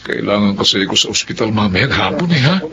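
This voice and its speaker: A man's voice: lowish voice, big pitch swings, brisk, background noise. A man playing a composed, businesslike family doctor in a Filipino radio drama. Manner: composed, instructive. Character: businesslike male family doctor narrating his story. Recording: dull lo-fi audio under music.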